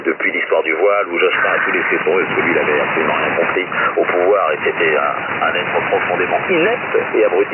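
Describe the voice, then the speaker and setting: Male ham radio operator, fairly deep, informal rambling opinion talk, squeezed through a staticky shortwave link. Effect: shortwave ham radio transmission. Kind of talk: casual opinionated radio chat. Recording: noisy narrow-band shortwave radio link.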